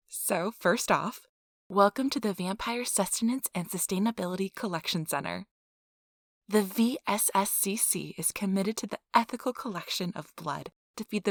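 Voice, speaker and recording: A woman's voice: mid-range voice, no room sound, clean studio sound, natural voice. A woman playing a cheerful, earnest donor recruiter in an ASMR role-play, with a polite, reassuring customer-service manner. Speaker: donor acquisition interviewer.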